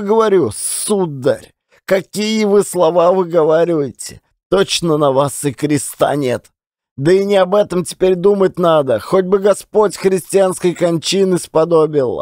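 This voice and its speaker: A man voicing a pious old Siberian peasant telling his story in folksy, drawn-out, old-fashioned speech. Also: on the high side, darkish tone, dry recording, clean studio sound, lightly acted. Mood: pleading reproach. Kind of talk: dramatised audiobook storytelling.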